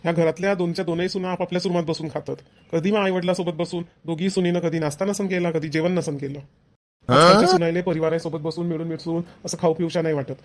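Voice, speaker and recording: A man's voice: a little high, fairly even intonation, fast talker, close to an everyday voice. A man playing a family-minded, exasperated young husband in a cartoon, scolding and lecturing with a Vidarbha Marathi accent. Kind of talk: amateur family cartoon voice acting.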